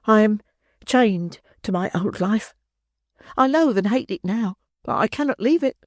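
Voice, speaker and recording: A woman's voice: mid-range voice, animated, a little slow, no echo, clean studio sound, pitched above the performer's own voice, lightly acted. A woman voicing a desperate, frightened young woman of the streets in an agitated, pleading way.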